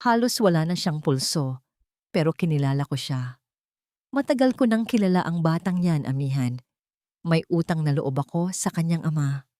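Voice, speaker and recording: A grave older doctor played by a woman narrator confides in an audio storybook with calm, measured gravity. The delivery is secretive and fairly flat, with little swing in pitch, in a close, dry sound. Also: no echo. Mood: calm, confiding. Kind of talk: storybook character dialogue.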